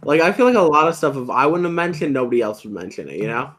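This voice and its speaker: A man's voice: fairly high pitch, very animated, fast talker. Young man with a slight lisp. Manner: self-deprecating, chatty, trails off quietly at ends of phrases. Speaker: YouTube Lego channel host. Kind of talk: casual chat.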